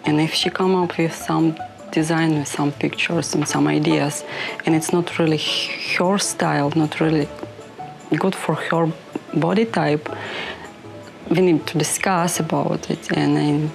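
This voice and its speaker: A young woman from Ukraine with a Ukrainian accent, a fashion designer talking in halting English full of "like" fillers. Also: sits low, steady tone, creaky, music in the background, poor audio. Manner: halting non-native English with many "like" fillers. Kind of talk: interview talk.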